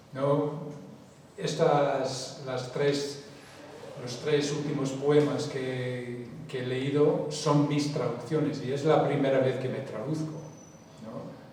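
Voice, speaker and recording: A poet and translator whose first language is English, answering audience questions slowly and thoughtfully with restarts. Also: male voice, mid-range voice, a little mellow. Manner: slow and reflective, with restarts. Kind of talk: unscripted answers in a public talk. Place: large hall with an audience. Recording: echoey hall from far off, faint hiss.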